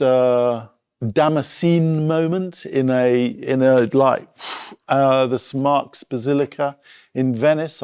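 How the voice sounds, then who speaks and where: A middle-aged man giving an informal public talk in a reflective, hesitant way, pausing as he thinks aloud. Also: mid-range voice, darkish tone, clean tone, dry recording, studio quality. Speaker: art school director giving a talk.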